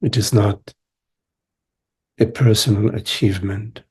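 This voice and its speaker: A man leading a guided meditation as a teacher, speaking gently in short phrases with long pauses. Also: lowish voice, fairly even intonation, breathy edge. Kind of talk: guided meditation, spiritual talk.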